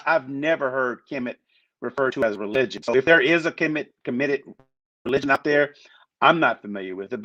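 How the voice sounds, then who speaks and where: Brief: male panelist, mid-pitched, dry lo-fi line, loose rambling delivery. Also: no room sound. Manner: rambling, many restarts and hedges. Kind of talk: casual chat. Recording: muffled lo-fi sound.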